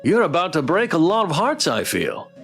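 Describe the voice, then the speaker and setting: A man's voice: on the high side, wildly swooping pitch, moderate pace, creaky, fairly bright tone, faint music behind. A man doing a theatrical read-aloud playthrough of a visual novel with commentary. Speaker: streamer playing and voicing a visual novel.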